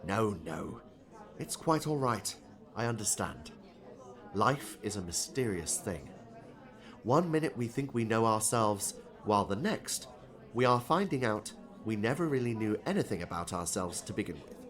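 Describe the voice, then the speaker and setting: Man playing a courteous, lilting gentleman. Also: on the low side, very animated, moderate pace. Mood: gentle, philosophical. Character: polite, genial businessman, calm and philosophical. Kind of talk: audiobook character dialogue.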